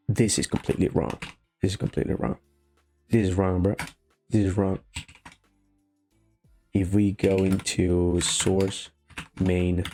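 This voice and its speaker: Low, creaky Venezuelan-accented man. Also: darkish tone. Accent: Venezuelan Spanish. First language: Spanish. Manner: halting, thinking aloud. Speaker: programming tutorial host. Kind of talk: casual live-coding walkthrough. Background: faint music, keyboard typing.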